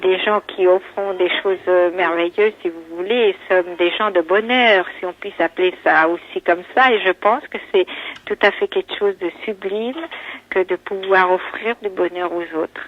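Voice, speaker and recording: A woman's voice: on the low side, normal speed. A woman working at a pastry shop, talking politely and effusively on the phone with many hesitations. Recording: muffled, band-limited telephone line.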